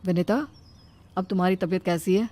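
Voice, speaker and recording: A woman voicing a mild, caring young husband who asks questions with gentle concern in a cartoon story. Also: on the low side, brisk, pitched below the performer's own voice, lightly acted. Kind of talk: cartoon moral story voice acting.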